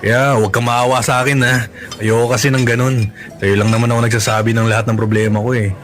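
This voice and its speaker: A man's voice: medium pitch, little pitch movement, fast talker, slight background noise, natural voice. An actor playing a burdened father confiding in a coworker, casual and resigned, in a Filipino radio drama. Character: burdened male coworker, weary father hiding troubles. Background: background music.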